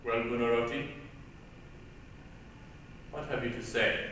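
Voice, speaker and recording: A man's voice: medium pitch, steady tone, slow, a bit bright, far from the mic. A man acting an angry, imperious pope on stage, declamatory and commanding. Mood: stern, challenging. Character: angry, imperious pope rebuking a defiant painter. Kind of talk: stage reader's theatre acting. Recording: echoey hall from afar, hissy 1980s recording.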